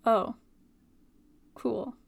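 A woman acting a chatty, prying female college student in a dramatic reading. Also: extremely slow. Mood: curious. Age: young adult. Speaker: actor and stage-direction reader.

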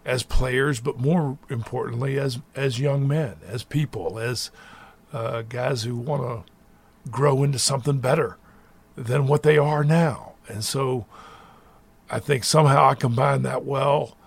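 A middle-aged man, a college basketball head coach, answering interview questions in a thoughtful, measured way with frequent restarts. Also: mid-range voice, fairly even intonation, creaky, breathy edge.

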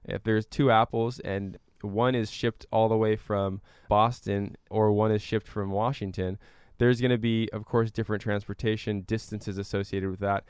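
A man's voice: fairly low pitch, little pitch movement, normal speed, a little mellow, smooth, no echo. A man explaining things conversationally as a guest expert in a radio interview. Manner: explanatory, with everyday examples. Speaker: interview guest, climate researcher and activist.

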